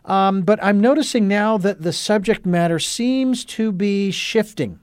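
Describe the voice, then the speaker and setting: A man's voice: high voice, unhurried, smooth, no echo. Rambling radio host man, dry studio sound. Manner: rambling, many ums and uhs, earnest. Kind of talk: casual talk radio chat.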